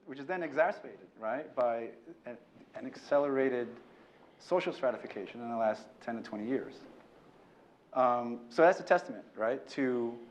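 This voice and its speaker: A man speaking on an academic panel, thoughtful and hesitant, often pausing with fillers and tag questions. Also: medium pitch, dull audio, a little hiss or hum. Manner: hesitant, many fillers and "right?" tags. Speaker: panelist, former fellow.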